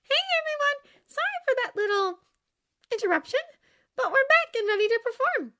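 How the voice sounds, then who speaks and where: Woman putting on an earnest, very high-pitched young daughter. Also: animated, normal speed, exaggerated voice. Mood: cheerful announcing. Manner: bright, exclamatory, fussing.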